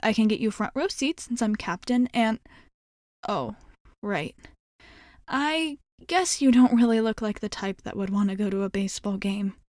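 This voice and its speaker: A woman's voice: mid-range voice, darkish tone, no room sound, clean studio sound, natural voice. A woman playing a nervous, blunt, sporty schoolgirl team captain in an ASMR roleplay, rambling and backtracking as she pleads with the listener. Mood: eager bargaining. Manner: rambling, halting, backtracking mid-thought.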